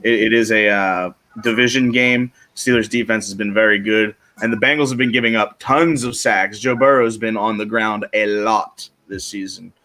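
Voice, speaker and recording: A man's voice: on the low side, fairly even intonation, moderate pace, few pauses, pushed and bright. A man on a fantasy football panel giving casual, colloquial sports analysis. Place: online livestream group call.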